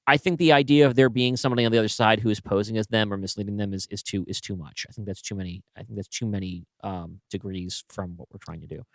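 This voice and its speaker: A man's voice: lowish voice, steady tone, fast talker, few pauses, dry recording. A man co-hosting a podcast, casually talking through story structure in a considered, analytical way. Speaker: podcast co-host and writer. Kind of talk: casual creative brainstorming talk.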